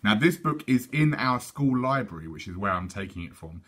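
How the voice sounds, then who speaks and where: Animated British man reading a children's story. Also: on the low side, normal speed, breathy edge. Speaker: teacher reading aloud to schoolchildren.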